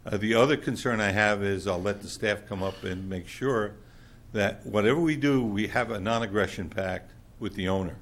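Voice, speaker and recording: Loud, deep-voiced man speaking bluntly. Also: moderate pace, a little hiss or hum. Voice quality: loud, booming voice. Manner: blunt, plainspoken, with many fillers. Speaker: public commenter at a council meeting. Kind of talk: public comment speech. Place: council chamber.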